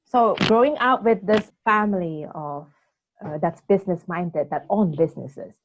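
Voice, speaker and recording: A woman interviewing a guest, asking measured questions. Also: fairly low pitch, noisy background. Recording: dull lo-fi audio.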